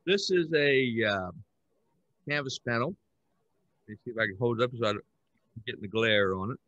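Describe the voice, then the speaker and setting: A man's voice: mid-range voice, moderate pace, a bit bright, no echo, lo-fi sound. An older man casually hosting an online art show as a podcast host, talking over a video call. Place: indoor room on a video call. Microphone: headset.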